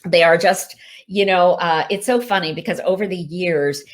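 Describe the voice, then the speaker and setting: Low-voiced woman, Hindi native, chatty. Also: normal speed. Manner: chatty, warm laughs, frequent fillers. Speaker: author answering reader questions. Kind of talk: casual virtual Q&A. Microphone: video call mic.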